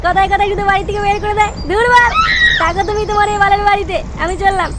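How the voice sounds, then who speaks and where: A man voicing a brash, foul-mouthed young drinker in a comedy cartoon, with a pitched-up voice. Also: flat delivery, very fast, raised voice, over a noisy crowd. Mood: defiant, annoyed. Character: hot-headed, hard-drinking young layabout son. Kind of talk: exaggerated comedy cartoon acting. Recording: noisy track with street and vehicle ambience.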